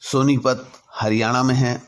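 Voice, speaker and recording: A man narrating a personal story in a deliberate way, in short halting phrases. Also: mid-range voice, flat delivery. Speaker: narrator telling his own anecdotes.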